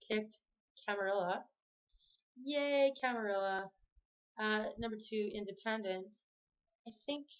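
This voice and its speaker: Rambling chatty woman on lo-fi video. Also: medium pitch. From Canada. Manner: rambling, self-correcting, chatty. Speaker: vlogger. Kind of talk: casual talk to camera. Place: home room with the TV on.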